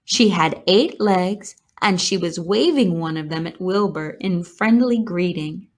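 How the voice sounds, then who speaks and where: A woman's voice: lowish voice, expressive, unhurried. A woman narrating a children's storybook as a scripted read-aloud. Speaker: storybook reader.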